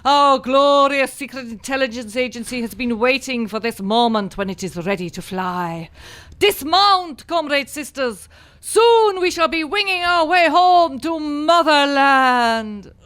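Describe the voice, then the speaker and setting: A woman's voice: sits high, measured pace, a bit bright. A woman in an amateur comic radio play voicing a scheming Soviet spy disguised as a nun, barking orders in a put-on heavy Russian accent. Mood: commanding.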